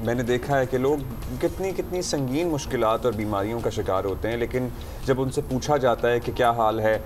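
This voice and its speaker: A man's voice: medium pitch, brisk, soft background music. Earnest man co-hosting a morning show. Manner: earnest, reads news items in formal Urdu. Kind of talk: casual morning show chat.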